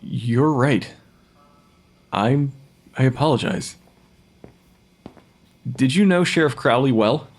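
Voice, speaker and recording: An actor playing a brusque forensic pathologist in an audio drama, clinical and matter-of-fact. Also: male voice, medium pitch, expressive, slow pace, pauses often. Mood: measured. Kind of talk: dramatic audio fiction acting.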